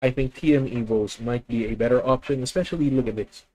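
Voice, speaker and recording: A man with a Filipino accent talking through his plays on a live stream. He reasons out loud in a methodical way, often stopping to correct himself mid-sentence. His voice sits at an ordinary middle pitch. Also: a little fast, poor audio. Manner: thinking aloud, methodical, self-correcting. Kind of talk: live deck-test commentary.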